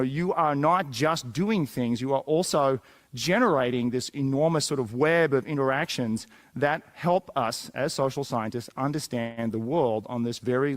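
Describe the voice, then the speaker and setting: A man giving a prepared talk, earnestly arguing for an idea he advocates. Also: on the high side. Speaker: speaker.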